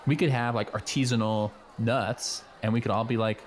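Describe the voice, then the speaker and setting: A man playing an earnest, know-it-all high school boy in an improvised comedy radio play, rambling casually with lots of slang. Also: fairly even intonation, normal speed, slight background noise, lightly acted. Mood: enthusiastic. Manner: rambling, hesitant, peppered with "like" and slang.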